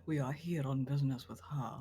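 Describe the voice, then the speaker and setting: A man's voice: mid-range voice, fairly even intonation, fairly quick, dark tone, lightly acted. A man playing a formal, polite adventurer who serves a villainous lord in a tabletop game, speaking in stiff, courteous phrases.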